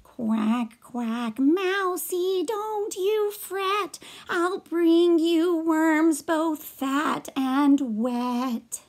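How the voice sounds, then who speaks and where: Woman as soothing animal mother, storybook lullaby, high, very slow, sing-song. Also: darkish tone, heavily acted. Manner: slow, drawn-out, sung.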